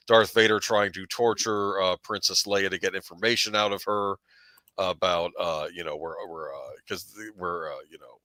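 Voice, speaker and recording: A man's voice: low voice, fairly even intonation, moderate pace, bright, forceful tone, no room sound. A middle-aged man chatting casually as a fan panelist, hesitant and often rephrasing himself. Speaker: panelist on a fan discussion show. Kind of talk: casual chat.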